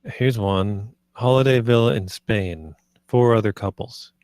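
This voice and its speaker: A man's voice: fairly low pitch, unhurried, a little mellow, smooth, dry recording, natural voice. Hesitant British husband, read by a man, very dry sound. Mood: cautious. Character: nervous, earnest husband proposing swinging to his wife. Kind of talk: film script table read, naturalistic dialogue.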